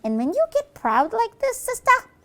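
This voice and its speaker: A woman's voice: extremely high pitch, wildly swooping pitch, normal speed, bright, forceful tone, pitched above the performer's own voice, heavily acted. A woman voicing a cocky, taunting little devil minion in a puppet show, mocking and boastful. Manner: mocking, dismissive, gleefully boastful.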